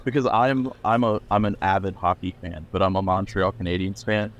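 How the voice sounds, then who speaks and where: Deep-voiced pennsylvania guy rambling about his label, lots of likes and restarts. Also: steady tone, brisk. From Pennsylvania, USA. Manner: rambling, many "like" fillers and restarts. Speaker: record label owner, guest. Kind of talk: casual podcast interview.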